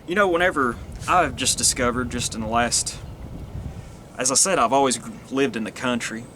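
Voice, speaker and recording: An earnest man, musing aloud in a loose, rambling monologue that often restarts and trails off. His voice sits at an ordinary middle pitch. Faint traffic noise hums behind him. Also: moderate pace, sharp tone. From Northeast Tennessee, USA. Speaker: vlogger. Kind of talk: casual monologue to camera.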